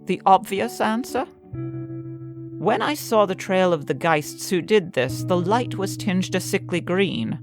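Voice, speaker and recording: A woman's voice: on the low side, lively intonation, unhurried, music in the background. A woman playing a hard, devout middle-aged prioress, stern and weary, firm and forthright in a dramatized fantasy audiobook. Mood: firm, resolute.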